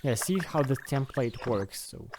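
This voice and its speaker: A man's voice: medium pitch, animated, measured pace. Hesitant man over noisy background. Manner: hesitant, thinking aloud step by step. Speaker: host of a video game modding tutorial. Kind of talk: unscripted screen-recorded tutorial.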